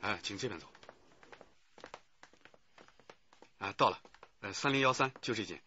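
A placating hotel security guard in a radio drama, polite but anxious. The voice is lively and bright, on a slightly dull recording with clear background noise. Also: male voice, lowish voice, quick pace. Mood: polite, subdued. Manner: placating, hesitant. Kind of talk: naturalistic radio play acting.

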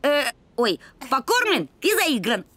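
A woman's voice: sits high, wildly swooping pitch, measured pace, sharp tone, no room sound, exaggerated voice. A woman voicing a cocky, sporty, scatterbrained boy puppy in a children's cartoon, with breezy, slangy, exclamatory delivery.

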